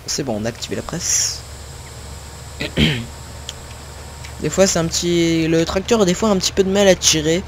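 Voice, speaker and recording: A man's voice: a little high, a little slow, pauses often, slight background noise. A young man from northern France giving casual commentary on his own gameplay, rambling with many fillers and self-corrections as he talks to his viewers. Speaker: gaming video creator. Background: faint game sounds of tractors and farm ambience.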